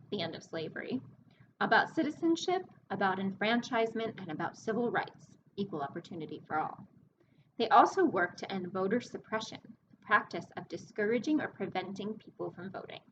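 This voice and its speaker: A woman librarian reading a picture book aloud to children, expressive and explanatory, pausing to speak to her young listeners. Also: mid-range voice, lo-fi sound, background noise. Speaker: children's librarian hosting story time.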